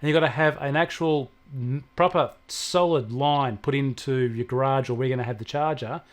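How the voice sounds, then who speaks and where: A man's voice: mid-range voice, fairly quick. An Australian man co-hosting a casual tech talk, explaining things in a plain-spoken, opinionated way. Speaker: technology show co-host and expert.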